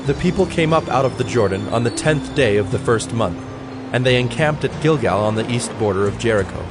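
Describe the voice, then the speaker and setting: Measured male scripture narrator over music. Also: mid-range voice, expressive. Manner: measured, formal storytelling.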